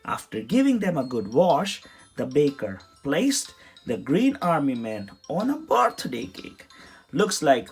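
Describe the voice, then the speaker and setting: A man's voice: fairly high pitch, huge pitch swings, measured pace, a little mellow, breathy edge. A man narrating a children's storybook as a read-aloud. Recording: music behind the voice.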